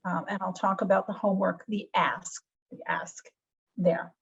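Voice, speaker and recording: A woman's voice: mid-range voice, steady tone, normal speed, breathy edge. A woman hosting a webinar over a video call, talking in a chatty, enthusiastic and self-deprecating way. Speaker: webinar host. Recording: dull lo-fi video call audio.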